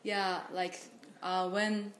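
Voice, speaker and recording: A woman's voice: medium pitch, drawn-out words. A woman whose first language is Japanese, an interpreter and teacher, chatting casually in an interview with many "like" fillers and frequent laughs. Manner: relaxed, fillers like "like", laughs often. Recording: echoey room with faint noise.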